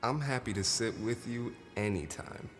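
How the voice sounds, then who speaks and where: An actor playing a gentle, caring, protective man in a stage drama reading. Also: medium pitch, moderate pace, in a large hall, faint music behind, lightly acted. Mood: warm.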